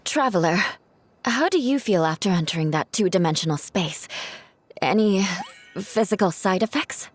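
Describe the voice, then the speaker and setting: A woman voicing a calm, caring companion. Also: medium pitch, normal speed, soft background music, natural voice. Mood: concerned. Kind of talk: video game voice acting.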